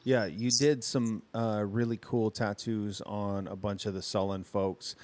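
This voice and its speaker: A man's voice: on the low side, flat delivery, a little slow, darkish tone. A man who tattoos in the Western style, interviewing a fellow artist in a laid-back way and calling him "man.". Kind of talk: casual interview chat.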